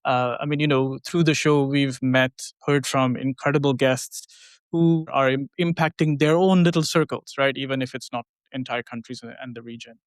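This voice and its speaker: A man's voice: mid-range voice, fairly even intonation, normal speed, clear, resonant tone, no echo. Chatty young man producer, dry sound. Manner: conversational, many fillers and tag questions. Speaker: podcast producer and co-host. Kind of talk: casual podcast conversation.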